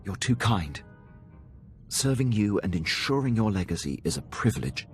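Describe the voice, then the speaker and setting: A man's voice: on the low side, big pitch swings, moderate pace, soft background music. An actor playing a devoted male military officer on a starship in a video game, speaking in a formal, precise, earnest way. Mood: dutiful, devoted. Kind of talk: scripted video game dialogue.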